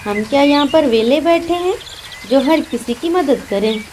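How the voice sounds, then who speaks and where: A woman acts out a sly female crow for a children's cartoon. She sounds high, smug and scornful, over faint background noise. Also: expressive, moderate pace, a little mellow. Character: sly, spiteful, self-satisfied female crow. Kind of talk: Hindi children's cartoon voice acting.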